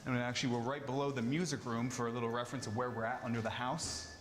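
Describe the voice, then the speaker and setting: A man's voice: medium pitch, little pitch movement, quick pace, slight background noise. A man giving an informal guided tour, explaining what is on display in an explanatory, conversational way. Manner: explanatory, inviting listeners to imagine.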